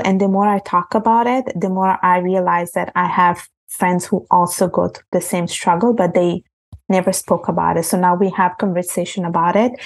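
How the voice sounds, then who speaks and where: A young woman raised in Italy talks through her thoughts as a podcast guest. Her voice sits a little low, and she speaks earnestly and reflectively. She often circles back and restarts sentences as she thinks. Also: a little mellow. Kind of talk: casual interview talk.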